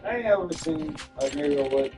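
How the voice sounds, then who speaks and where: A young man trades loud, profane jokes with a friend in easy banter. His voice is a bit high and sits back from the mic, with faint music behind it. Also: animated, fairly quick, recorded from a distance. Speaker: friend watching the game. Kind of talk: casual chat.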